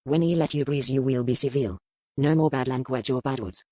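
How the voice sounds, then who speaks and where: A man playing a smooth, probing French merchant with a French accent in a historical romance audiobook. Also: medium pitch, fairly quick, dark tone, no room sound, clean studio sound, close to an everyday voice. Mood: coolly commanding. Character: suave, probing French merchant in colonial port. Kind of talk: dramatised audiobook dialogue.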